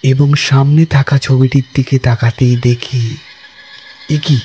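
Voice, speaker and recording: A man telling a first-person ghost story for a cartoon, calm and reflective. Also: mid-range voice, slow, muffled recording, a little hiss or hum.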